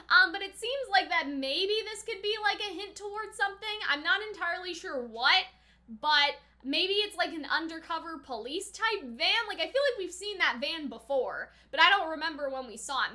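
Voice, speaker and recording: High, bright-voiced young woman. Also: animated, moderate pace. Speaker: anime reaction host. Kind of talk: casual chatty reaction commentary.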